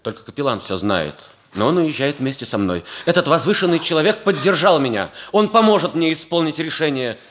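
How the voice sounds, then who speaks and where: An actor playing a noble, lovelorn young cavalry officer in a theatrical, sighing manner in a 1960s radio comedy. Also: male voice, a little high, sing-song, brisk, hardly any pauses, a bit bright. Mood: grave resignation. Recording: 1960s radio play with music behind.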